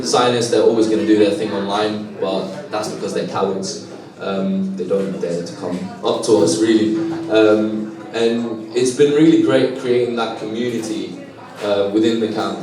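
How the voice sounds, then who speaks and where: A young man who grew up in Lebanon, answering interview questions in a halting, earnest way with many fillers. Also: lowish voice, recorded from a distance. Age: young adult. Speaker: student organiser. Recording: echoey room, voice from off mic.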